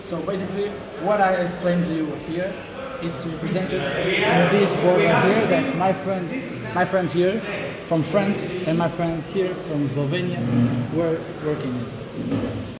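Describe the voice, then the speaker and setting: A man from Portugal giving a halting, casual presentation of a student project, with many fillers. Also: high-pitched, steady tone, a loud crowd. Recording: echoey room, loud background noise.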